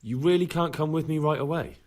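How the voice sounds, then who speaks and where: An actor playing an earnest young medieval squire in a video game, persistent and a little sardonic. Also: male voice, a little high, fairly quick, a little mellow, natural voice. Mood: earnest, persuading. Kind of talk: video game cutscene acting.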